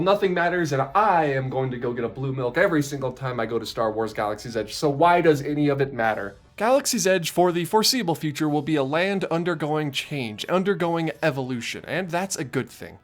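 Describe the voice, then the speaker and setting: A man with a head cold, sounding congested and nasal, talking casually through a video essay with rambling jokes and asides. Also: fairly high pitch. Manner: rambling, joking asides, warm thanks.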